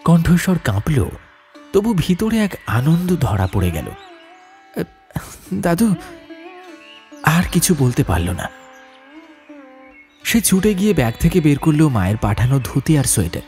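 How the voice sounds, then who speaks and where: A man's voice: a little high, very animated, normal speed, darkish tone. A man narrating a scripted ghost story as a dramatic audiobook. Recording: music bed behind narration.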